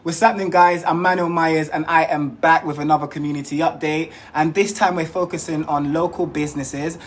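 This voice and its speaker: A man's voice: fairly high pitch, fairly even intonation, a little fast, a little hiss or hum. Upbeat man giving a community update. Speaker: community reporter. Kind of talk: scripted report.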